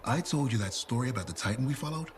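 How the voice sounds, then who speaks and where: An actor playing a showy, self-deprecating merchant, chatty and theatrical, in video game dialogue. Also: male voice, mid-range voice, expressive, quick pace, noisy background, natural voice.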